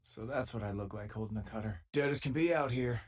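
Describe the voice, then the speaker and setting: An actor playing an easygoing, wisecracking spacefaring companion in a video game, talking in a casual, wry, conversational way. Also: male voice, mid-range voice, brisk, lightly acted. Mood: easygoing, friendly. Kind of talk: video game voice acting, conversational companion lines and combat callouts.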